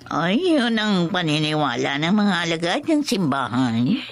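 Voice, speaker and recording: Solemn old Filipino folk healer: gravelly, slow, swooping delivery for horror radio drama. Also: female voice, lowish voice, very animated. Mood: solemn, knowing.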